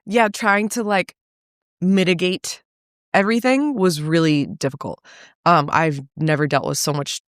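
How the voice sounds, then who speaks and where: A woman's voice: fairly low pitch, a little slow, no room sound, clean studio sound. A woman from Salem, Massachusetts, a reality show cast member speaking frankly as a podcast guest, with rambling sentences full of fillers and casual swearing. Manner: frank, run-on talk with frequent fillers and mild swearing. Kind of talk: candid conversational interview.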